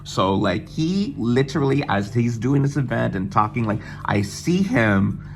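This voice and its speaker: A young man from the United States telling an excited, swearing storytime vlog and mimicking other people's voices as he goes. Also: mid-range voice, measured pace, a little hiss or hum. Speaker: vlogger. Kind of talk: casual storytime chat.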